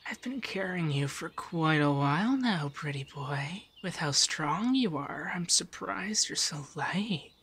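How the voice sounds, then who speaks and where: A man voicing an affectionate, teasing femboy monster companion in a soft, breathy, shyly stammering ASMR roleplay. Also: fairly high pitch, lively intonation, a little slow, few pauses, full character voice. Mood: tender, reassuring.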